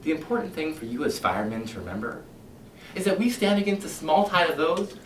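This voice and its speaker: A man performing a cynical middle-aged fire chief in a competition monologue, lecturing his firemen with sarcasm and swinging between loud and hushed delivery. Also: on the high side, animated, brisk, voice pushed up. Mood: sardonic. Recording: echoey hall heard from far off, faint hiss.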